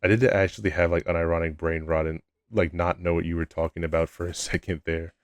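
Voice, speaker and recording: A young man with a low voice, chatting casually with his live-stream chat in a laid-back, rambling way at a desk mic at home. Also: flat delivery, quick pace, no echo.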